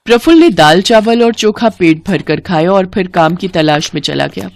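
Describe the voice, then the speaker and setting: A woman's voice: fairly low pitch, expressive, normal speed, gravelly, no room sound, clean studio sound. A woman storyteller reading scripted narration for a moral storybook tale.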